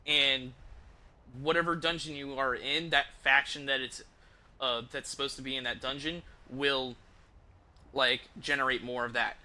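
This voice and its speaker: A man talking casually on a game livestream, rambling in an informal, enthusiastic and halting way. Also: mid-range voice, fairly even intonation, pushed and bright. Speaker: game streamer.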